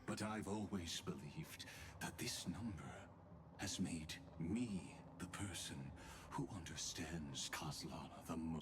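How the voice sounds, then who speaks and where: A man's voice: low-pitched, noisy background. A man playing an ancient, theatrical, sinister schemer in a video game, with whispery, grandiose delivery. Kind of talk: video game dramatic voice acting. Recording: music behind the voice.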